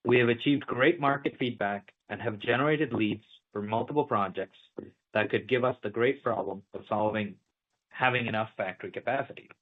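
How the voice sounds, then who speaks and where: A man's voice: medium pitch, moderate pace. A man giving prepared remarks on an earnings call as a company's chief executive. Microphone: phone or conference line. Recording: thin phone-line conference call.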